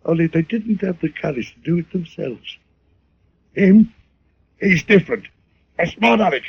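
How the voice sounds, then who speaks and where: Husky, unhinged old-radio barkeeper. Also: male voice, high voice, expressive, moderate pace, heavily acted. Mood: crazed, vengeful. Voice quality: husky, gravelly. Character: mad murderous barkeeper, folksy, bitter, feigning kindness. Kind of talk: 1940s radio drama acting. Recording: dull old radio recording.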